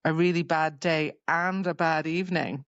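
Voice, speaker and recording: A woman with a fairly low voice talks as a podcast co-host in loose, informal book chat, dropping wry asides. The recording is dry and a little muffled, like a modest microphone. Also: steady tone, moderate pace, dry recording. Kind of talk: casual book discussion chat. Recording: dull, slightly lo-fi microphone sound.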